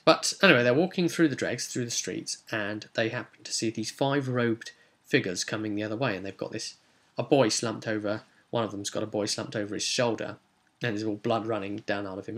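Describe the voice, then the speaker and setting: Gravelly-edged man, rambling and informal. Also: fairly low pitch, a bit bright. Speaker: game master presenting a video recap. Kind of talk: casual recap of a tabletop role-playing session.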